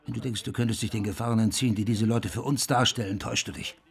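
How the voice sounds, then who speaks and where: A man's voice: fairly low pitch, fairly even intonation, fast talker, vocal fry. An actor playing a hard-edged, insistent man who speaks bluntly in a naturalistic radio drama. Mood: stern, pressing. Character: hard-edged, pressuring man with a shady past.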